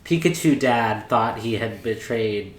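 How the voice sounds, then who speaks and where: A man's voice: fairly low pitch, lively intonation, unhurried. A man co-hosting a podcast, casually retelling a movie plot to a group. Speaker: podcast co-host. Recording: roomy echo, background noise.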